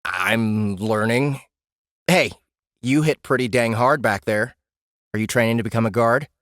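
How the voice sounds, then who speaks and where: A man's voice: medium pitch, a little slow, a bit bright, no echo, clean studio sound, close to an everyday voice. A male narrator voicing an earnest young newcomer hero with a friendly, eager way of talking.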